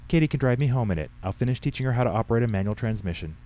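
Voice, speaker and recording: A man's voice: medium pitch, expressive, racing, warm tone, voice pushed up, close to an everyday voice. A man voicing a bossy, cantankerous old woman who huffs and scolds in an audiobook story. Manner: huffy, scolding. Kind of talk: audiobook character dialogue.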